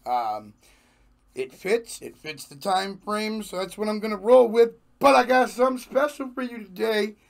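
A young man hosting a reaction video in casual slang, his voice hoarse, talking at a small home studio desk mic. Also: high voice, moderate pace. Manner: slangy, laid-back, laughs often. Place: small home studio room with jerseys on wall. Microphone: large desk microphone in front.

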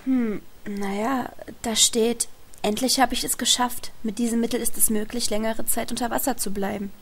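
A woman's voice: fairly high pitch, steady tone, normal speed, husky. A woman playing a scholarly, careful female translator in a fantasy audio drama, explaining and reading aloud. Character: scholarly, hesitant female translator of elven writings.

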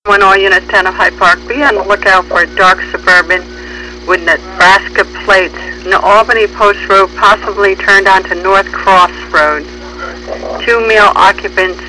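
A man's voice: high-pitched, sharp tone. A man working as a police dispatcher, giving procedural, clipped bulletins over police radio. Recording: noisy police scanner radio audio.

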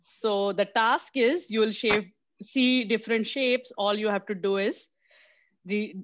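A woman in her forties from Mumbai giving a mentorship talk over a video call, halting with many fillers and restarts. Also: medium pitch, fairly even intonation, a little hiss or hum. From Mumbai, India. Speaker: guest speaker. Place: small room indoors. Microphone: headset on a video call. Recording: dull lo-fi video call audio.

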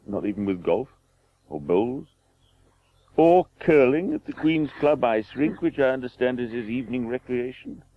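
An actor playing a gentle, consoling older gentleman in a radio drama. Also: mid-range voice, lively intonation, moderate pace, close to an everyday voice. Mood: gently consoling. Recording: muffled, phone-like radio tape.

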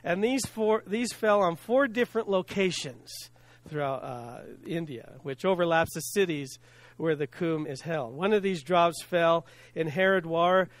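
Middle-aged man, pastor at the pulpit, sincere preaching with soft endings, slightly high, dry close mic. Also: no room sound. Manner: earnest, preaching from a lectern with pauses and soft drops; self-deprecating laugh at hard words. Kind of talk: sermon. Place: church sanctuary with stage and screen. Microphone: stand mic at lectern, filmed from the back.

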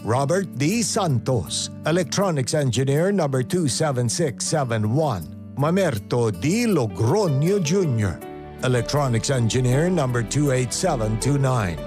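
A man reading a formal scripted station announcement in a measured, carefully enunciated way. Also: mid-range voice, animated. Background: background music.

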